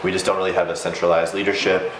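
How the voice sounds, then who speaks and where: Deep-voiced, measured young man. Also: little pitch movement, noisy background. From Philadelphia, USA. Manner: organized, measured, thoughtful. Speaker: interviewee. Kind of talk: casual interview answers. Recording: roomy sound over background music.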